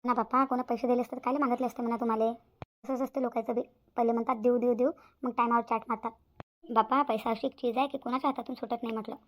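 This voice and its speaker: A woman's voice: fairly high pitch, flat delivery, racing, close to an everyday voice. A woman voicing a worried, stubborn village mother in a Marathi cartoon, plaintive and repetitive, with a Vidarbha Marathi accent. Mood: concerned.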